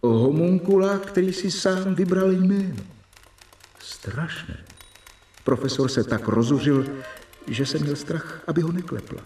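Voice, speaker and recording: A man's voice: fairly high pitch, mellow, soft background music, lightly acted. Earnest male medical assistant played by a male actor, radio drama diary monologue, roomy and distant. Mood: dismayed.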